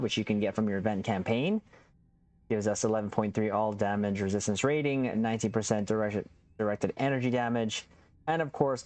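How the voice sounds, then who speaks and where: Man, gaming channel host, upbeat, chatty, casual build walkthrough, mid-range pitch. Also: a little mellow, dry recording. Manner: upbeat, chatty, addresses viewers as captains.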